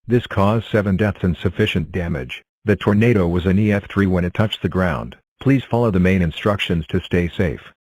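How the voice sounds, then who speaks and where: A man with a low-pitched voice serves as an emergency alert announcer. He reads scripted severe weather bulletins in a formal, measured and impersonal way. The sound is dry, with no room echo. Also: a little mellow.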